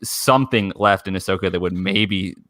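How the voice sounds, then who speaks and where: A man's voice: deep voice, normal speed, sharp tone, no echo, clean studio sound. A man in a casual fan discussion, speaking in an emphatic, opinionated way. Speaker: podcast panelist.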